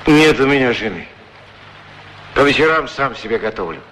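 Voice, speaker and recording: A middle-aged street shoeshiner in a radio drama, wistful and good-natured. His speech is humble and folksy, with lively swings in pitch. There is steady background noise on the recording. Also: normal speed. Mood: modest, resigned. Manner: ruminative, humble, folksy, courteous. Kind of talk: radio play acting, musing monologue and dialogue.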